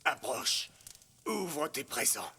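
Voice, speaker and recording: A man voicing a regal, measured pharaoh and father in a French cartoon dub. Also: on the high side, sing-song, creaky, sharp tone, slightly rough, exaggerated voice. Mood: solemn.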